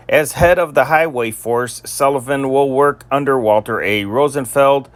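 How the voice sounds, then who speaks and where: A man's voice: mid-range voice, a bit bright. A man reading scripted, old-time newspaper stories as a newsreader, in a formal announcer delivery with an amateur touch. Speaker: amateur newsreader and channel host.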